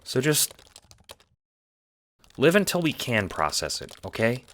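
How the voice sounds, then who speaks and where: Earnest young guy played by a man, lively intonation. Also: mid-range voice, takes it slowly, heavy background noise. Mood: gentle, reassuring. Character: John, earnest, steady young best friend consoling someone. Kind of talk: audio drama dialogue.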